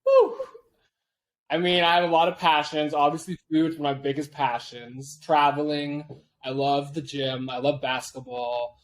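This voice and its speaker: A man in his late twenties from Washington State, a guest talking over a video call, laid-back and quick to laugh. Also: fairly high pitch, steady tone. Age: 20s. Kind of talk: casual interview chat.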